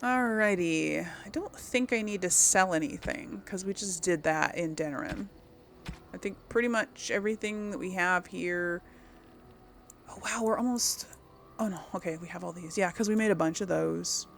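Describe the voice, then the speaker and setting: Gamer lady thinking out loud in a playthrough, chatty, kinda crackly fry in her voice, bit of noise behind. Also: fairly low pitch, moderate pace. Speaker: gaming video host. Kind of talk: casual game playthrough commentary.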